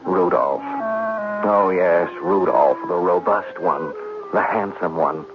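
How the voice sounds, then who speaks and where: An actor playing an anxious, scheming gambler in a 1970s radio drama, dry and theatrical, pleading at times. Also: male voice, deep voice, takes it slowly, background noise. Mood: dry, bitter. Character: anxious, scheming twin brother deep in gambling debt. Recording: muffled vintage radio broadcast, music.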